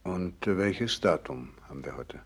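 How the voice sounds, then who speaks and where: A gravely ill radiologist in a 1960s radio drama. The voice is fairly low and husky, and he speaks with measured, earnest resolve, insisting on his work despite his illness. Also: expressive, exaggerated voice. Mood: weary, insistent. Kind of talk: 1960s German radio play acting.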